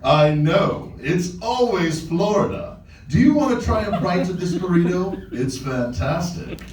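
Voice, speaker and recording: A man's voice: sits high, expressive, unhurried, a little mellow. A man playing a lonely, anxious, awkward man who lives alone, hesitant and sighing, in a live comedy table read. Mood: lonely, hopeful. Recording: echoey room, distant mic, faint noise.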